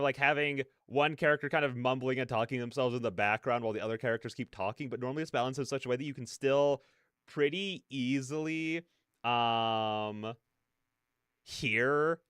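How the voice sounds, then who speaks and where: A man hosts a casual reaction livestream. His voice is mid-pitched, smooth and clean. He sits close to the mic in a very dry-sounding space. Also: fairly bright tone, no echo. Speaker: streamer, reaction host.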